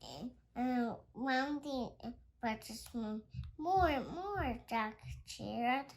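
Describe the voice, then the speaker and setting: A child reading a Christmas picture book aloud, halting and stumbling over words. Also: medium pitch, a little hiss or hum.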